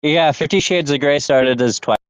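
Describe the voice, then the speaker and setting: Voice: medium pitch, moderate pace. A speaker in casual podcast banter, rambling, repeating phrases and swearing freely. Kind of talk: casual chat, podcast banter about pop culture.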